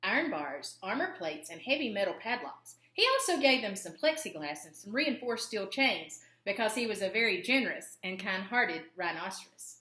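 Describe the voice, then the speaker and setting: Female storybook reader: bright, very animated, dramatic pauses for young listeners, roomy echoey recording. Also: medium pitch. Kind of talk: expressive children's storybook narration.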